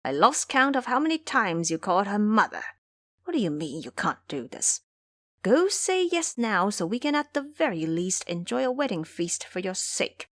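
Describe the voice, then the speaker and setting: A woman's voice: mid-range voice, big pitch swings, normal speed, a bit bright. A woman playing a cheeky, bossy male monkey disciple in an audio drama, teasing and mocking.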